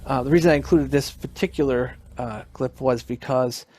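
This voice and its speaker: A man giving a casual narrated lecture, speaking in a hesitant, halting way. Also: medium pitch, darkish tone, dry recording.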